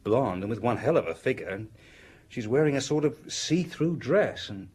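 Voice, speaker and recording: An actor playing a frank, flustered provincial French police inspector in a radio drama, deferential and hesitant. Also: male voice, mid-range voice, lively intonation, moderate pace, a little mellow, breathy edge. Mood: confiding, awkward.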